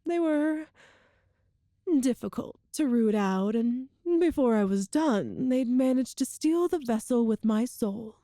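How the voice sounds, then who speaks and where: Casting: female actor as ageless evil empress for ASMR roleplay drama; soft mellow tone, unhurried, grandiose, condescending, very dry close recording. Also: fairly high pitch, no echo. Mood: smug, confiding. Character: cruel, ancient immortal sorceress empress, smugly superior.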